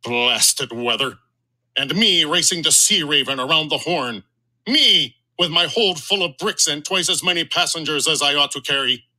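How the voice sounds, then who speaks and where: A man voicing a blustering, gruff middle-aged sea captain who roars his lines. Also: fairly high pitch, normal speed, bright, forceful tone, low-quality recording. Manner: roaring, blustery.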